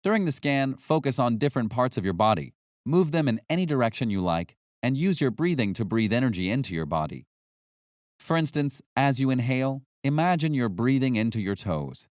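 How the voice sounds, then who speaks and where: A man reading a scripted nonfiction audiobook as narrator, in a measured, instructional way. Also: mid-range voice, very animated, darkish tone, dry recording, studio quality.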